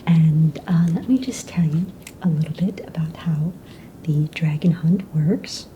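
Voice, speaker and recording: A woman playing a calm, businesslike young fantasy egg hunt official in a breathy, soft-spoken ASMR roleplay, casual and matter-of-fact. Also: deep voice, unhurried, a little hiss or hum, poor audio. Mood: calm, polite. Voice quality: breathy, whispery.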